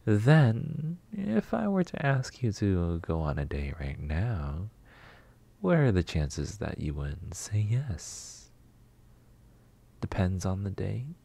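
Man playing a husky, slow-talking flirty neighbor. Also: sits low, wildly swooping pitch, dark tone, heavily acted. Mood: flirty, teasing. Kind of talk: intimate roleplay ASMR.

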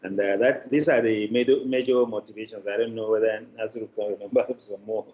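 An older man with a Nigerian accent giving deliberate, formally worded interview answers with frequent pauses. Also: fairly low pitch, fairly even intonation, darkish tone. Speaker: interview guest, media producer. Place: plain indoor room, video call. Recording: dull, noisy video call.

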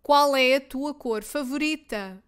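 A woman teacher with a European Portuguese accent, reading simple phrases twice each, clearly and deliberately, for learners. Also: high voice. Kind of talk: slow repeated phrase drills for learners.

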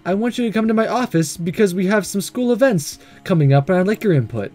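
A coy, flirtatious woman principal from a romance game, read out by a man, a little wounded. The delivery is halting and lively in pitch, with music in the recording.

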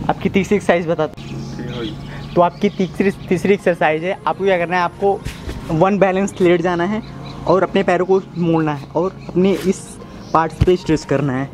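Young male amateur fitness instructor, twenties, higher-pitched voice, casual step-by-step delivery, clear music bed underneath. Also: moderate pace. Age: 20s. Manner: casual, instructive, repeats phrases. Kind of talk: workout demonstration talking to viewers. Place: outdoors in a brick-walled yard or rooftop. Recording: loud music behind voice.

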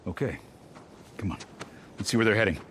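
An actor playing a stern, disciplined militia officer in a video game, speaking in a firm, businesslike way. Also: male voice, lowish voice, expressive, fairly quick, a little hiss or hum, lightly acted. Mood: guarded, matter-of-fact. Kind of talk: naturalistic video game acting.

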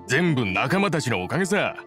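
Dependable, plain-spoken anime game man, medium pitch. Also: sing-song, normal speed, a bit bright, faint music behind. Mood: modest. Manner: plain-spoken, casual, sincere. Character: modest, dependable adult male boat builder in anime game.

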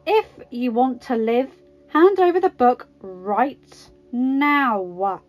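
A woman's voice: sits high, expressive, normal speed, soft background music, voice pushed up. A woman voicing a stern, bossy female secret agent in an exasperated, commanding manner. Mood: demanding.

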